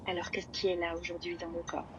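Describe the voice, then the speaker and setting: Female yoga nidra guide, low-leaning flat intonation, slow and spacious phrasing, prominent surf noise. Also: lo-fi sound. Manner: slow, unhurried, long pauses between phrases. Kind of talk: guided relaxation meditation. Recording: loud steady ocean-wave noise behind voice. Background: sound of sea waves.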